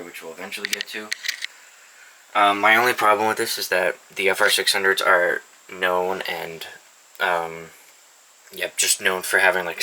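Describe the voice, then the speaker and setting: A man's voice: low-pitched, sharp tone, poor audio. A young man casually showing off his weather radio collection, rambling and swearing freely, in an indoor room. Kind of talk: casual show-and-tell vlog. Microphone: camera mic.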